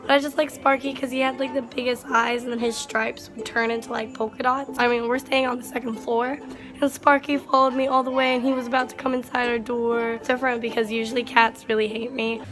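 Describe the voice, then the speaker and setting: Raspy-voiced young woman vlogging. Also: fairly high pitch, flat delivery, brisk. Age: young adult. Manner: rambling, filler-heavy with lots of "like". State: raspy, strained voice. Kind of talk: casual travel vlog chat. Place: hotel room and outdoor terrace on an island. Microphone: phone camera at arm's length. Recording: ukulele music underneath.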